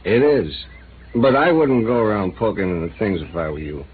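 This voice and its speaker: A cynical, hard-drinking newspaperman, lowish and cagey, tossing off lines with a lively, bouncing pitch. It sounds like a tinny, narrow old radio broadcast with faint hiss. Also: normal speed. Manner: flippant, cagey, streetwise. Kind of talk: 1950s radio drama acting.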